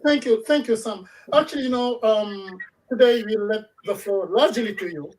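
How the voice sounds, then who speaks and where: A woman's voice: a little high, darkish tone, a little hiss or hum. Woman hosting, heard from a distance. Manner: measured, with frequent restarts. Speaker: radio talk show host. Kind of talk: formal introductions and panel moderation. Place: in Toronto.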